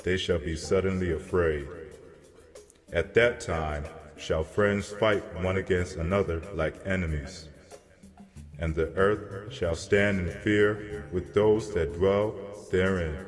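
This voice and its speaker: A man playing a solemn angel messenger who delivers grave prophecy in a measured, declamatory way, as in a dramatized scripture reading. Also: low-pitched. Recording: long cavernous reverb, music behind. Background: music.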